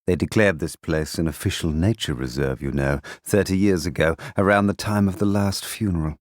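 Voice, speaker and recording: Actor as a deep-voiced, condescending questioner. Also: male voice, no echo, studio quality, lightly acted. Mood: coolly doubting. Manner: measured, persuasive. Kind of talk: audiobook drama acting.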